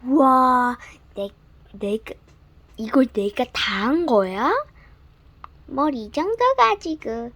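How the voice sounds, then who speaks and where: A bright little girl of ten, as a woman storyteller puts her on, eager and pleased with herself. Her voice sits high and swoops up and down as she chatters. It comes from a gentle bedtime storybook reading. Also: expressive, slow, pauses often, full character voice. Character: proud cheerful ten-year-old girl.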